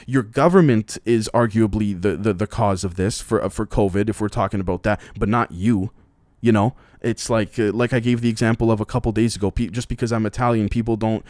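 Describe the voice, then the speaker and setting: A man's voice: mid-range voice, darkish tone, no room sound. A man hosting a podcast, reading news headlines and giving blunt, profane opinions in a rambling way full of restarts. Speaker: podcast host. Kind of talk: casual news commentary.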